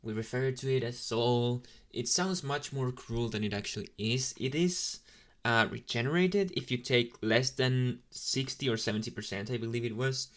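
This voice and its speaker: A man's voice: mid-range voice, moderate pace, fairly bright tone, lightly acted. A man playing an inexperienced young male demon intern in an ASMR roleplay, chatting in a casual, hesitant, apologetic way straight to the listener. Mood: explaining, reassuring. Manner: rambling, hesitant, casually chatty with slangy asides.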